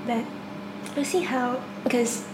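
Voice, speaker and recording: A young woman from China speaks in a casual interview, hesitant and stumbling over her words, often breaking into small laughs. She is recorded in an echoey room with clear background noise behind her. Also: on the high side, measured pace. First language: Cantonese. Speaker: interviewee, university student.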